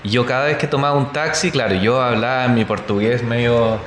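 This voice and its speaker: A man's voice: mid-range voice, a little fast, a bit bright. A man with a Chilean accent, casually telling stories as a podcast guest, with frequent restarts. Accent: Chilean Spanish. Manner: storytelling, many restarts and fillers. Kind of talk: casual chat. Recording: echoey room with faint noise.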